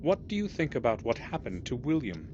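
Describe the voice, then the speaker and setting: Game role: male actor as earnest questioning man, very animated intonation, muffled audio with heavy noise. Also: medium pitch, brisk, close to an everyday voice. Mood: insistent, suspicious. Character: earnest suspicious man returning to family castle. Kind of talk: scripted video game voice acting.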